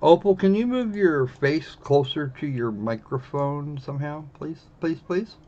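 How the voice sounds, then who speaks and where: A man's voice: on the high side, lively intonation, a little slow, darkish tone. A man livestreaming a visual novel, giving casual live commentary into a slightly dull, noisy microphone.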